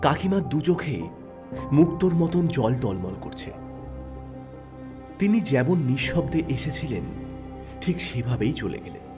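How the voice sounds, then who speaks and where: Guy narrating an audio story, really animated delivery, music running underneath. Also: mid-range voice, measured pace, long pauses. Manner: expressive storytelling. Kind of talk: scripted dramatic narration. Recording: narration over background music.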